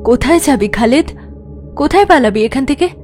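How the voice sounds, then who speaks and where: A woman playing a wary, frank prostitute who confides her past in an audio story, sometimes sharp-tongued. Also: high voice, animated, fairly quick, over music. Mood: anxious. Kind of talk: Bengali audio story acting.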